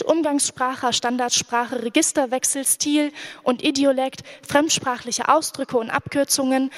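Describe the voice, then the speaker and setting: A woman from Syria whose first language is Arabic, reading her literary texts at a public event with a measured delivery and hesitant fillers between passages. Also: fairly high pitch. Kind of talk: live literary reading with spoken asides.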